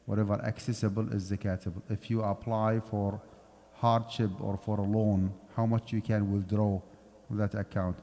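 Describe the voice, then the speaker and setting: A man's voice: lowish voice, little pitch movement, moderate pace, warm tone. Man lecturing in a reverberant mosque hall. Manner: conversational, explanatory, checks in with "okay". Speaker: Islamic finance lecturer.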